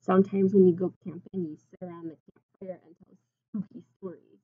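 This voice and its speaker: A woman in her twenties reading a picture book aloud like a teacher, expressively, pausing to put simple questions and explanations to young children. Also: lowish voice, level tone, narrow, tinny audio, a little hiss or hum. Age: 20s. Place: small classroom, indoors.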